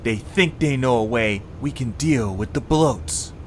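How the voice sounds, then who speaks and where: A man's voice: mid-range voice, measured pace, faint music behind, natural voice. A man voicing an eager young cartoon creature with an urgent, conspiratorial manner, in an audio story. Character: eager young male cartoon creature passing on secret plans.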